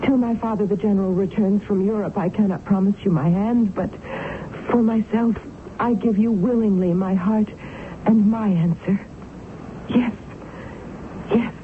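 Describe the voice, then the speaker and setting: Actress as devoted young fiancée, tinny old radio. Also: female voice, mid-range voice, fairly even intonation, a little slow, close to an everyday voice. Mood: tender, earnest. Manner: formal, tender, old-fashioned phrasing. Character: devoted, genteel young fiancée, a general's daughter. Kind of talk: period radio drama acting. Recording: noisy, tinny old radio broadcast recording.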